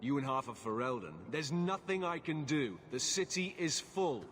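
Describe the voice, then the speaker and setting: An actor playing a weary, blunt guard captain in a video game cutscene, speaking curtly and firmly. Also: male voice, fairly high pitch, animated, normal speed, fairly bright tone, slight background noise. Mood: stern. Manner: curt, firm.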